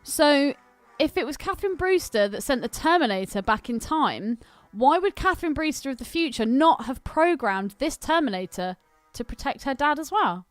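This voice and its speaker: A woman's voice: high-pitched, expressive, normal speed, hardly any pauses, no room sound, soft background music. A British woman co-hosting a podcast in casual film banter, cheeky, blunt and sweary. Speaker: podcast co-host. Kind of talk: casual banter about films.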